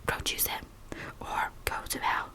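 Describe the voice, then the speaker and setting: A woman's voice: a little slow, natural voice. A woman voicing a proud, stern male bridegroom, whispering his curt, commanding demands.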